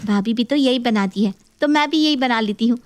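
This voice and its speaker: A woman's voice: fairly high pitch, a little fast, no echo, natural voice. A woman playing a naive, earnest young daughter-in-law in a dramatized story. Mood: eager, scheming. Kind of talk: animated story dialogue.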